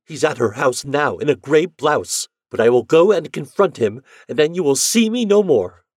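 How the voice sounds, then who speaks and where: A man voicing an anxious, jealous young wife with a high, breathless, emotional delivery. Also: very animated, moderate pace, a little mellow, breathy edge, no echo, studio quality. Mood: agitated.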